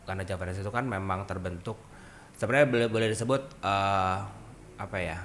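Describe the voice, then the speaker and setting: A young adult man, a dance group founder and trainer, chatting on a podcast in an animated way. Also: low voice, fairly quick, a little hiss or hum. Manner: animated, sings melodies and acts out quoted voices. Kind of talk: casual podcast conversation.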